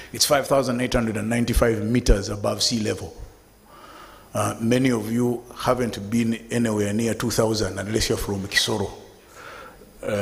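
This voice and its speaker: A man in his fifties giving a lively, conversational talk to a live audience at an event, speaking to them directly. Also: medium pitch. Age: 50s. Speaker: guest speaker. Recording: long hall reverb.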